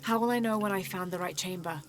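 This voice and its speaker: An actress playing a thoughtful young woman adventurer in a video game, measured and sincere. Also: fairly low pitch, slight background noise, close to an everyday voice. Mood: gentle. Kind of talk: video game cutscene acting. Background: music.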